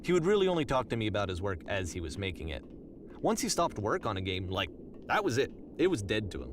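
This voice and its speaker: An actor playing an earnest, reflective game developer who guides players in conversational scripted narration. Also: male voice, fairly low pitch, sing-song, quick pace, background noise. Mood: wistful, confiding. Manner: earnest, explanatory.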